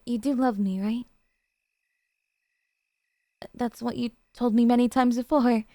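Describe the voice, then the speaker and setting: A woman acts out a possessive young yandere girlfriend for an ASMR roleplay. Her voice sits fairly high and is cutesy and coy, with hesitant asides that turn needy. The sound is very dry and intimate. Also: fairly even intonation, measured pace, mellow, dry recording. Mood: clingy adoring.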